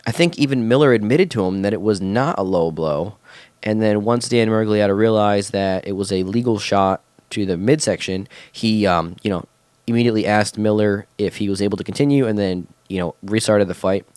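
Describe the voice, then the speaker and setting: A man's voice: fairly low pitch, brisk, a little mellow, no echo. Nasal, chatty male podcast host. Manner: chatty, enthusiastic, many fillers. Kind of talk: casual solo podcast talk. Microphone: home podcast mic through a mixer.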